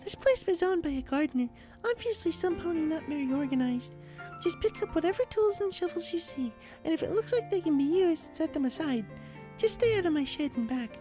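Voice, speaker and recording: Very high-voiced gentle young pony played by a woman. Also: lively intonation, a little fast. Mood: instructive, cautioning. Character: gentle, kindly young female pegasus pony who loves animals. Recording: music clearly under the voice.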